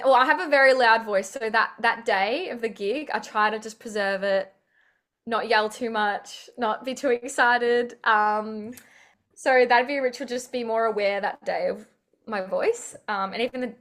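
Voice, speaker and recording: A woman's voice: a little high, normal speed, fairly bright tone. A young woman chatting in an interview over a video call, upbeat and full of fillers. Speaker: singer-songwriter guest. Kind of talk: casual interview chat.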